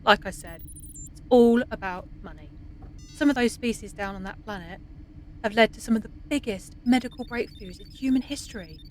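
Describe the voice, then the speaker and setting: A woman's voice: a little high, moderate pace, darkish tone, a little hiss or hum, close to an everyday voice, low-quality recording. A woman playing a cynical but idealistic scientist in an audio drama, dry, wry and wearily matter-of-fact.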